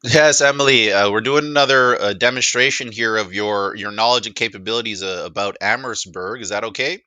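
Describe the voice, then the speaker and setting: Bright-voiced man presenting, fairly low pitch. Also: a little fast, dry recording. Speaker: presenter demonstrating a voice assistant. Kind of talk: casual spoken product demo.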